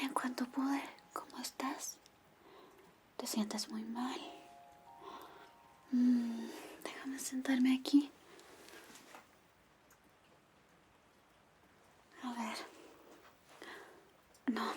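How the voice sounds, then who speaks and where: A woman playing a caring, protective young girlfriend in a soothing, gently firm whispered ASMR roleplay. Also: on the high side, steady tone, slow, pauses often, a little hiss or hum. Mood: concerned.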